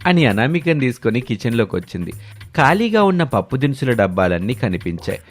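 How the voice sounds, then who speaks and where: Male storyteller for animated moral tales: medium pitch, scripted delivery, faint music bed. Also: brisk. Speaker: storyteller narrator.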